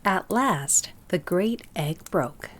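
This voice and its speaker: A woman narrating a fairy tale, reading from a script in an expressive storybook style. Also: lowish voice, slow pace.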